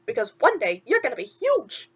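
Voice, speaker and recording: A woman's voice: sits high, huge pitch swings, normal speed, a bit bright, slightly rough, exaggerated voice. A woman voicing a brash little male mouse from a children's storybook, bossy but encouraging, with slangy, punchy delivery. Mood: rousing, bossy. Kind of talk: children's storybook character reading.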